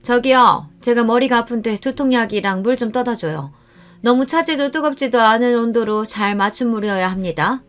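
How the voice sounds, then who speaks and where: A haughty middle-aged woman, put on by a female narrator in a radio story. Her voice sits raised and high. She is imperious and sneering, treating the listener like a servant. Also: normal speed, faint music behind, close to an everyday voice. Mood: bossy, demanding. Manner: imperious, sneering, ordering others about. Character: haughty, bossy, contemptuous middle-aged woman, mother-in-law of narrator's son.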